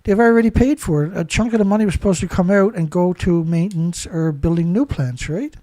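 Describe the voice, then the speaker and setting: A man's voice: high-pitched, brisk, warm tone, no room sound. A man hosting a solo news commentary, stuffed up, rambling and indignant, full of rhetorical questions. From Canada. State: stuffed-up nose. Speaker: host.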